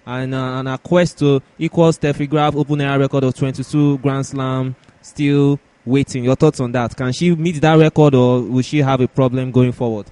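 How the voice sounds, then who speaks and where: A man's voice: medium pitch, fairly even intonation, normal speed, few pauses, dark tone. A man hosting a sports podcast in a conversational way, often repeating and rephrasing as he discusses and poses questions.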